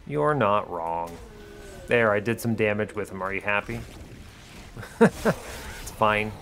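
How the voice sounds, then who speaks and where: A man's voice: lowish voice, slow pace, creaky, fairly bright tone, faint music behind. A man giving casual video game commentary, thinking aloud and questioning himself as he talks through each decision. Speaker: gaming video host.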